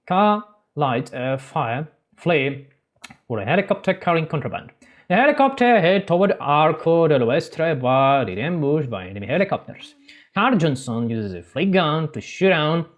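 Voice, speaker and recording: A man hosting a gaming channel, recapping a game's story in halting non-native English and breaking off for joking asides. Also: on the high side. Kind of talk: game story recap with commentary.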